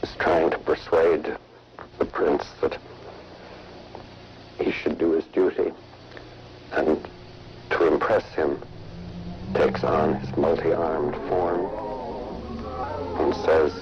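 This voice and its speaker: A man speaks in an extremely low, flat voice. He is very slow and somber, halting between phrases. The recording is dull and a little noisy. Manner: somber, halting, long pauses. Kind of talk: slow, solemn recollection.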